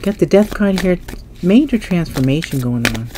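A woman reading tarot at a table, her voice a little stuffy and set low, with a dark, mellow tone. She muses aloud in a casual way as she lays out cards. A faint hum sits behind her. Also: lively intonation, normal speed. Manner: musing, thinking aloud while turning cards. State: stuffy nose. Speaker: tarot reader. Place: indoors at a table, hands only in frame.

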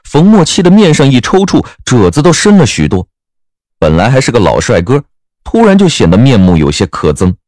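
A man narrating a scripted story in a wry, expressive manner. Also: medium pitch, brisk, no echo.

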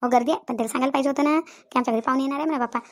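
A woman's voice: high-pitched, fairly even intonation, rapid-fire, lightly acted. A woman voicing a quarrelsome, nagging village wife and mother in a comedy cartoon, with a rural Vidarbha Marathi accent, scolding and repeating her complaints. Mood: scolding, aggrieved. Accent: rural Maharashtrian, Vidarbha.

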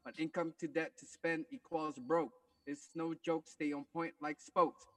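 A man on a group video call, chatting casually and rapping in a measured way. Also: fairly high pitch, little pitch movement, fairly bright tone. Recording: distant video-call microphone.

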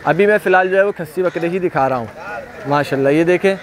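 A man's voice: a little high, fast, a little hiss or hum. A man hosting an on-site walkthrough of livestock, chatting casually and repeating praise often. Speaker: livestock video host. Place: outdoor goat pen at a farm.

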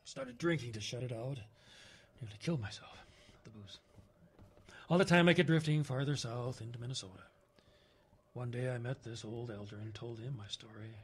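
A man's voice: mid-range voice, moderate pace, slight background noise, natural voice. An actor playing a haunted older man telling stories in an audio drama, plain-spoken and folksy, with clipped phrasing and wry humor. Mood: haunted, grim.

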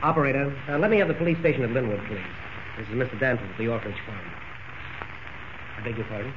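An actor playing a strained, stern middle-aged orphanage superintendent, curt and formal, on a muffled old radio recording with hiss. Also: male voice, mid-range voice, quick pace, lightly acted. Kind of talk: 1940s radio acting.

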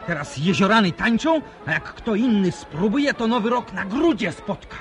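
A man's voice: high-pitched, animated, normal speed, over music. An actor playing a hot-headed young village man in a radio drama. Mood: agitated. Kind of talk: Polish radio drama acting.

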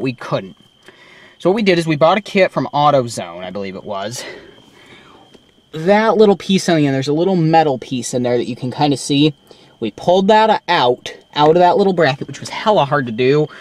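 A young man casually showing off his car in a vlog, rambling with many ums, filmed on a handheld camera in and around his parked car. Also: on the high side. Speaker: vlogger. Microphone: handheld camera mic.

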